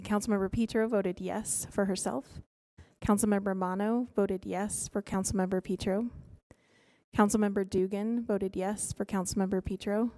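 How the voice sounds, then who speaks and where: Soft-spoken woman reading out council votes. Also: mid-range voice. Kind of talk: formal meeting report.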